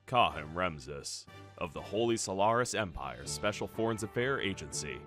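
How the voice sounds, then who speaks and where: Man playing a deep, grave ship's first officer. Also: animated. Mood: grave briefing. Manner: measured, formal, serious. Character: grave, loyal male first officer and adviser. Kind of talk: fan radio-play acting. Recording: music behind the voice.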